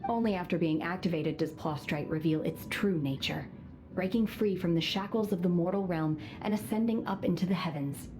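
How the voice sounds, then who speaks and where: A composed, aloof young woman, played by an actress, speaks in a low-set, formal and measured voice. She stays reserved and even as she explains things. Game music sits clearly behind her. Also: lightly acted. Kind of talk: video game dialogue.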